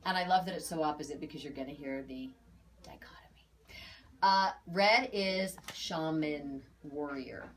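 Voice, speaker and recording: A woman in her forties with a slightly low voice. She talks informally and emphatically to clients as an aura reader, chatting along and repeating key phrases to drive them home. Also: normal speed, a little hiss or hum. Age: 40s. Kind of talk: informal spoken reading to clients.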